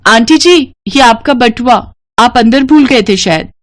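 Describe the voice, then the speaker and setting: A woman storyteller gives voice to a poor, modest young village woman in a moral tale. She speaks in a raised pitch, polite and meek, with some hesitancy. The recording is close and dry. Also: a little slow, no room sound, close to an everyday voice.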